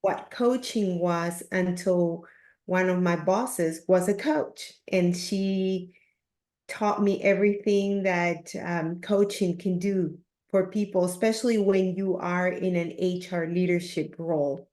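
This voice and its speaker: A woman in her fifties from Peru with a Peruvian Spanish accent, speaking in a measured, earnest way as an interview guest. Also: fairly low pitch, fairly even intonation, darkish tone. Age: 50s. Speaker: podcast guest, leadership coach. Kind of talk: reflective interview talk. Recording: roomy, echoing sound.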